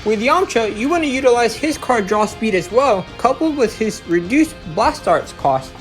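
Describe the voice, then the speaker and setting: A woman's voice: on the high side, lively intonation, moderate pace. A woman giving an instructional, step-by-step voice-over as the narrator of a gameplay guide. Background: music.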